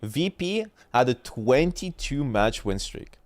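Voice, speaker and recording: A man's voice: mid-range voice, big pitch swings, slow, darkish tone, no echo. Give me a stuffy-nosed man in a dry, close recording, chatting on stream and arguing his point. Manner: rambling, argumentative, trails off mid-point. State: stuffy nose. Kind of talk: casual gaming chat.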